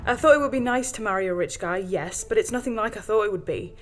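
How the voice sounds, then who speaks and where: A woman playing a greedy, resentful wife, brisk and dismissive, in a dramatic audio drama. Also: medium pitch, lightly acted. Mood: bitter, resentful.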